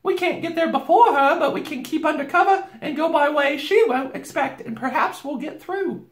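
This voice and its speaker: A performer voicing a motherly, unflappable female beaver from a storybook, brisk and homely, in a roomy space. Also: high voice, pitched above the performer's own voice, natural voice. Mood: soothing, bustling.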